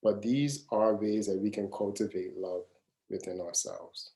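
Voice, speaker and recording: A man in his mid-thirties leads a live wellness class in a casual, earnest way, often saying a phrase twice for weight. His voice sits fairly low with a dark, mellow tone. The room around him rings with echo. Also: steady tone, unhurried. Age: 30s. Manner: earnest, casual, repeats phrases to stress them. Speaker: wellness coach. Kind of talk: live masterclass talk. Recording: echoey room.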